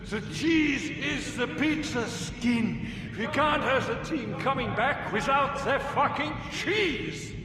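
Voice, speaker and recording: High-pitched, excitable German scientist. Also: male voice, slow pace, few pauses, music in the background. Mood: outraged. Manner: theatrical, exclamatory, fussy. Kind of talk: video game audio log acting. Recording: echoey hall, distant mic, loud backing.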